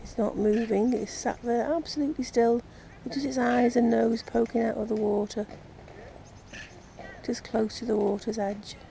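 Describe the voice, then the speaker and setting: High-voiced, creaky English woman. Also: fairly even intonation, moderate pace, rough recording. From England. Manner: chatty, gently observational. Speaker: vlogger. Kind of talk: casual nature vlog narration. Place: outdoors in an urban park. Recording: outdoor ambient noise, birdsong. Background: birds and park ambience.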